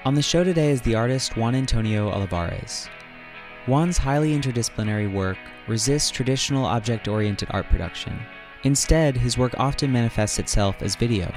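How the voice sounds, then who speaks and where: A man hosting an interview podcast: he reads a scripted intro, then asks relaxed, friendly questions. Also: medium pitch, a little fast, faint music behind. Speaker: podcast host. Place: artist's studio.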